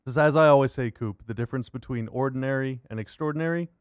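A man's voice: mid-range voice, fast talker, clear, resonant tone, lo-fi sound. A man hosting a casual sports interview, chatting informally with lots of filler "like". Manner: informal, often says "like". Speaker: podcast host, interviewer.

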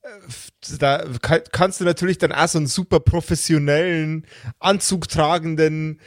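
Guy with slightly higher voice, dry close sound, casual game master chat. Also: steady tone, normal speed, no echo.

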